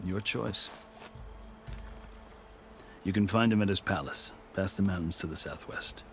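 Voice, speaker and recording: A man playing a dignified, measured former army commander turned diplomat in a video game cutscene. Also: low voice, fairly bright tone, slight background noise. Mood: earnest, advising. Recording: music behind the voice.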